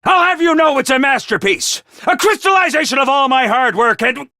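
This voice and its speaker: A man voicing a small, bossy male robot commander in a video game, theatrical and self-important, prone to shouting. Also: lively intonation, moderate pace, no room sound, studio quality, full character voice. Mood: boastful. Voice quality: squeaky, over-the-top. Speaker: voice actor. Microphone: dry studio mic.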